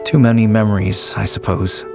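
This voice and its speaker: A man playing an anxious, mournful, haunted character in a video game, talking in a hesitant, halting way. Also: fairly low pitch, little pitch movement, creaky, music in the background. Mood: wistful, uneasy. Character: anxious, mournful, soft-spoken man haunted by childhood friend.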